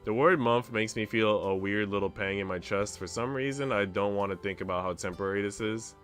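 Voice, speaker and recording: A man's voice: fairly low pitch, a little fast, fairly bright tone, faint music behind. A man streaming a visual novel, reading the story narration expressively with casual asides.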